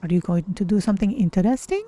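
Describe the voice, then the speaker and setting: A woman voicing a mild, thoughtful cat-person mage in a measured, formal way, role-playing through a game stream. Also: medium pitch, animated, mellow, natural voice. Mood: musing. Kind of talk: character-voiced game stream roleplay.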